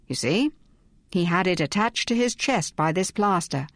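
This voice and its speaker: A woman's voice: on the low side, expressive, moderate pace, fairly bright tone, no room sound. A woman voicing a pompous male hotel manager speaking indignantly.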